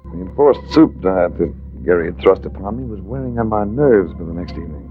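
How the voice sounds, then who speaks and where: Hardboiled low-voiced radio adventurer. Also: male voice, expressive, brisk, loud music behind the voice. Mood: wry narration. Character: hardboiled male adventurer-investigator, wry and self-assured. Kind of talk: 1940s radio drama acting and first-person narration. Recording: tinny, noisy vintage radio recording.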